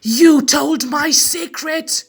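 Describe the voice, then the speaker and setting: An imperious king from a storybook, acted out by a woman reader, touchy and guarded. He speaks slowly with heavy emphasis over background music. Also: high-pitched, vocal fry, voice pushed up, heavily acted. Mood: furious. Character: secretive, commanding male king, easily angered and ashamed. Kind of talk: storybook character voice.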